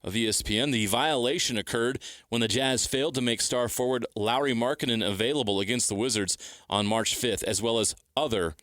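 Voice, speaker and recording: A man's voice: medium pitch, a little fast, a bit bright, dry recording. An American man co-hosting sports talk radio, giving news and banter in an emphatic, joking way from a studio.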